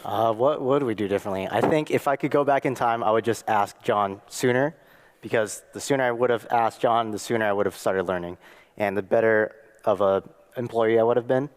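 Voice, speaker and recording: A man's voice: on the low side, brisk. A young man speaking at a conference as a mentee, giving plain, earnest advice. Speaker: junior database administrator, mentee. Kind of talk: conference talk. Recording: long hall reverb.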